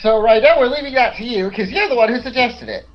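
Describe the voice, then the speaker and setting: A woman's voice: a little high, quick pace, faint music behind. A woman chatting casually as a player in a tabletop game over a voice call. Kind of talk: casual group game chat. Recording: dull lo-fi voice call.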